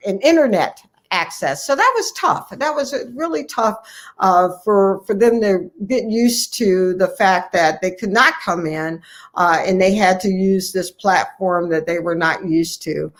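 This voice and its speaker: An American woman speaks as a physician guest in an interview. Her delivery is conversational but earnest and emphatic, and she repeats key phrases to drive them home. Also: medium pitch. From United States, Ohio. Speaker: physician guest and medical association leader.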